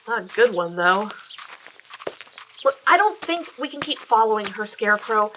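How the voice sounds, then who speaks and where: A woman's voice: a little high, sing-song, moderate pace, a bit bright, a little hiss or hum. A woman playing a blunt, sceptical, combative young heroine with a sarcastic streak in a fantasy audio drama. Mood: exasperated. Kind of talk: full-cast fantasy audio drama acting.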